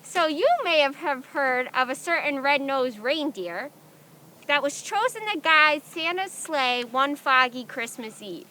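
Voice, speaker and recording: A woman's voice: high-pitched, expressive, unhurried, sharp tone. A woman hosting a children's storytime, presenting and reading aloud expressively as she addresses young listeners directly. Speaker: storytime host. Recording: background noise.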